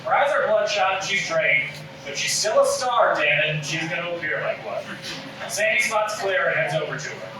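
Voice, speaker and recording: A man's voice: high voice, lively intonation, moderate pace. A man reading out stage directions as scripted narration at a live table read. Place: live reading in an echoey room. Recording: echoey room, distant mic, background noise.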